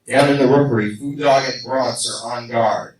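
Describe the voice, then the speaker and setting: A mid-pitched man delivers scripted narration for a live radio play. He sounds far from the microphone. The room is echoey and the recording noisy. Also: fairly even intonation, moderate pace. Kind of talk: scripted narration with comic asides.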